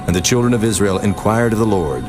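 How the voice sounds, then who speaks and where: A man narrating scripture from a script in a solemn, measured, formal manner. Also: on the low side, lively intonation. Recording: music laid under the voice.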